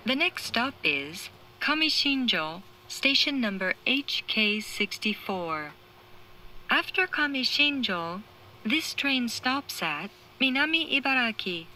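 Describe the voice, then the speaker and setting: A woman reading formal, measured scripted train announcements in clearly separated phrases through an onboard speaker. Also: on the high side, sing-song, bright, forceful tone, a little hiss or hum, rough recording. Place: recorded inside a train carriage.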